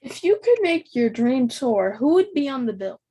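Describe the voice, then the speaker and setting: A woman's voice: high voice, big pitch swings, normal speed, warm tone, a little hiss or hum. A woman hosting an interview, chatty and slangy, laughing easily with her guest. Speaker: interview host. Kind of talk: casual interview.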